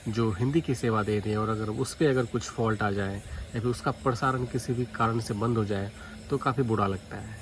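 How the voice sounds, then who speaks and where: A man with an Indian accent explains things casually to viewers in a vlog, often restating his points. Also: medium pitch. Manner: explanatory, repeats points, addresses viewers directly. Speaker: vlogger, shortwave radio hobbyist. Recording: clearly noisy home recording, radio static.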